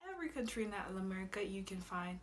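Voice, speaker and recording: A woman's voice: medium pitch, expressive, rapid-fire, slight background noise. A young woman from Honduras vlogging casually to camera in her room, chatty, with many fillers and frequent laughs. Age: young adult. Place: bedroom with a flag behind her.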